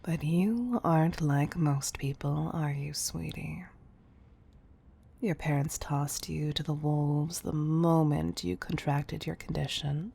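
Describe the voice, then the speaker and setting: Slow gravelly lady boss voiced by a woman, bossy billionaire ceo type, condescending, audio roleplay. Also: deep voice, dark tone, exaggerated voice. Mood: condescending pity. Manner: condescending, commanding, deliberate. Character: domineering billionaire tech CEO woman, condescending tough love. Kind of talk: intimate scripted audio roleplay.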